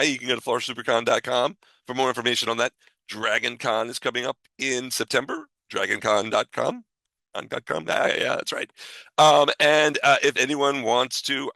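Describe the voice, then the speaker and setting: A man's voice: mid-range voice, creaky, sharp tone, no echo, low-quality recording. A man hosting a podcast in casual, reassuring chat, often repeating himself. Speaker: podcast host.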